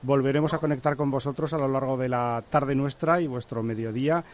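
Spanish male radio host, medium pitch. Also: steady tone, a little fast. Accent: Spanish, from Spain. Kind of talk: live radio presenting.

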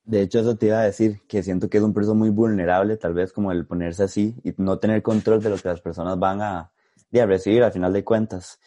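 A man's voice: fairly low pitch, fairly even intonation, fast talker, warm tone. A man, interviewing in a casual, conversational way.

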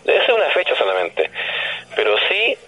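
A man's voice: lowish voice, huge pitch swings, brisk, bright, forceful tone. A man with a Chilean accent speaking as a radio guest, explaining things didactically in conversation, with rhetorical questions and checks that he is understood. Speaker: radio guest, paranormal commentator. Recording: dull lo-fi telephone-like radio line.

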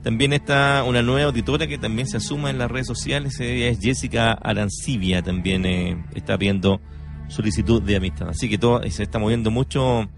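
A man's voice: medium pitch, brisk, vocal fry. A man hosting a casual radio chat, often stopping to search for his words. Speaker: radio host. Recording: music under the talk.

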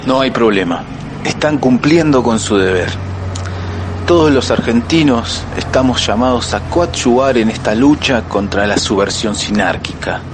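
A man playing a wary, composed fugitive warrior in a radio drama, speaking in a measured, theatrical way. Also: mid-range voice, background noise, lightly acted. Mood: feigned cheer.

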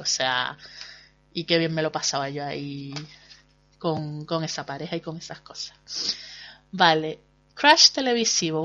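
A woman with a Canary Islands accent and a fairly low voice hosts a solo podcast. She chats in a loose, emphatic way, full of fillers and exclamations. The recording sounds a little dull and lo-fi. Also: sharp tone. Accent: Canary Islands Spanish. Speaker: podcast host. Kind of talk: casual solo podcast chat.

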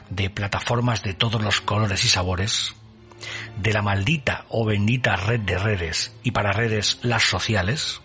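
A man hosting a radio show, reading a scripted reflection in a measured, deliberate way. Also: lowish voice, sharp tone. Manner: measured, deliberate pauses between words.

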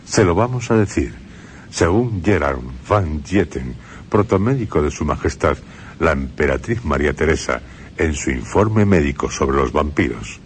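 A man with a Spain Spanish accent, host of a radio program, narrating a script in measured phrases with dramatic pauses. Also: a bass voice, lively intonation, slight background noise.

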